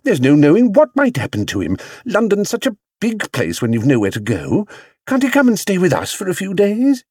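A man's voice: high voice, huge pitch swings, normal speed, a little mellow, husky, no room sound, clean studio sound, voice pushed up, full character voice. A man voicing a kind but firm English wife in a children's storybook, exclaiming and appealing. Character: warm-hearted, firm, persuasive middle-class English wife.

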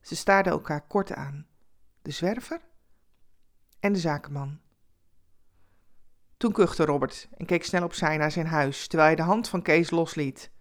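A woman's voice: low-pitched, measured pace, long pauses. A woman storyteller reading a scripted Christmas tale as dramatic narration.

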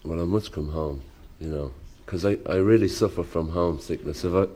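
A man from Dublin with a Dublin accent, talking about himself in a halting, earnest way. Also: deep voice, warm tone. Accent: Dublin Irish. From Dublin, Ireland. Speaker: interview guest. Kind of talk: casual personal talk.

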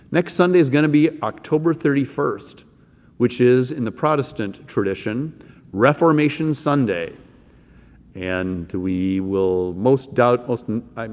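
A middle-aged or older American man preaching as a pastor, measured and deliberate, with pauses and rhetorical questions. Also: mid-range voice, darkish tone. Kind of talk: sermon. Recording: echoey church hall with long reverb.

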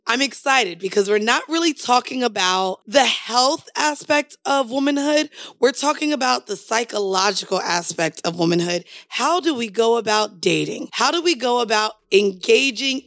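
Upbeat woman podcast host, higher voice. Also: a bit bright, no room sound. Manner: chatty, upbeat, asks personal questions. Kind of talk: casual podcast chat.

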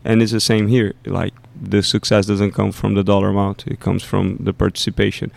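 A man's voice: fairly low pitch, completely flat delivery, fairly quick, creaky, a little mellow. A man, a youth soccer coach, talking casually on a podcast and often repeating himself as he thinks aloud.

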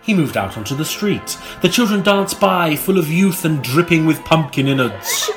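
A man narrating an audiobook in a theatrical, melodramatic style, with dramatic pauses. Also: a little high, animated, soft background music.

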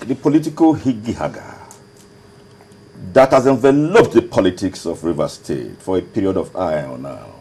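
A man speaks slowly and grandly, his pitch rising and falling widely as he rolls out ornate, theatrical phrases. His voice is dark and husky. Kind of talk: formal public address.

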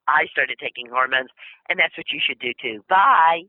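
A woman's voice: low-pitched, normal speed, no echo, pitched above the performer's own voice. A woman voicing a breezy, self-assured fifty-year-old woman who is chirpy, glib and cheerfully dismissive. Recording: tinny phone-like radio sound.

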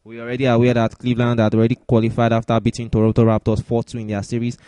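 Fast-talking man hosting a sports podcast. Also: medium pitch, monotone, mellow, no room sound. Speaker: podcast host. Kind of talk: sports discussion and commentary.

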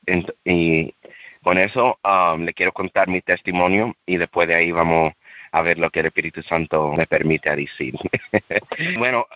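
A man's voice: low voice, unhurried, dry recording, lo-fi sound. A man whose first language is English telling his religious testimony as a podcast guest, hesitant, with many fillers and restarts. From United States. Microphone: call audio.

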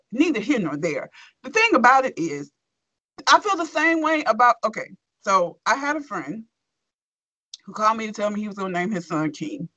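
A woman's voice: fairly high pitch, no echo, rough recording. A woman co-hosting a talk show, reading a forum post aloud and then ranting with lots of swearing. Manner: expressive, swearing, animated rant. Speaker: podcast co-host.

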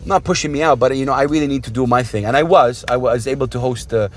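A man's voice: mid-range voice, quick pace. Casual man from Saudi Arabia, earnest talker. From Jeddah, Saudi Arabia. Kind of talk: casual podcast conversation.

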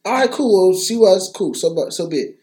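A man's voice: high voice, expressive, fairly quick, a little mellow. A man co-hosting a podcast in casual chat, slangy, profane and opinionated. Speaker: podcast co-host.

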